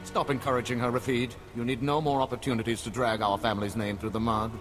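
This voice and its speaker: An actor playing a pompous, snobbish noble uncle in a video game, haughty, disdainful and formal. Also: male voice, mid-range voice, little pitch movement, fast, lightly acted. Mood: indignant, scolding. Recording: background music under the voice.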